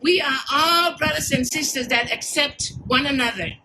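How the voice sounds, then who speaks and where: High-voiced woman from Samoa, grandmother, speech over clear background noise. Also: normal speed, rough recording. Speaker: community volunteer. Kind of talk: prepared speech at an outdoor event.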